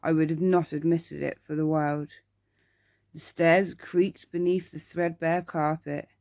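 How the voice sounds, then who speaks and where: Female ASMR storyteller, unhurried and deliberate, gravelly fry, very dry sound. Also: deep voice, flat delivery, darkish tone, dry recording. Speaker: storyteller reading bedtime stories.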